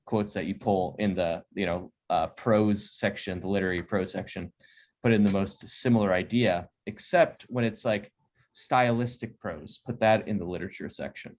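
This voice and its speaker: A man's voice: low-pitched, normal speed. A man in his thirties hosting a casual video call, explaining ideas in an upbeat, chatty way from a home office. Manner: upbeat, chatty, laughs often. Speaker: host. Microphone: over-ear headset on a video call.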